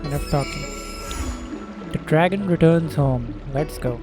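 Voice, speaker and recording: A man's voice: a little high, measured pace, over music. A man hosting a gameplay video with casual commentary. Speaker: gaming video host.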